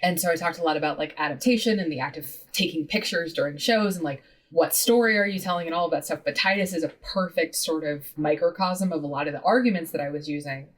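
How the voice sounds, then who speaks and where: A woman in her thirties, a podcast guest talking in a lively, casual way, full of "like" and casual swearing. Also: deep voice, fast talker, hardly any pauses, distant mic. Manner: animated, heavy use of "like", swears casually. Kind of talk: casual interview chat.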